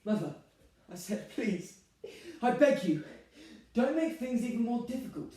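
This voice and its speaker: A man's voice: extremely high pitch, big pitch swings, unhurried, dark tone, heavily acted. A young man playing a harried, put-upon groom in a dramatic stage monologue, theatrical, emphatic and exasperated. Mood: pleading. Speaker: drama student performer. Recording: very echoey room, distant microphone.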